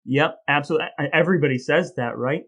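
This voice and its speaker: Wry, slightly higher-pitched man chatting casually. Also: quick pace, a bit bright. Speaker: podcast co-host. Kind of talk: casual chat about trivia and research.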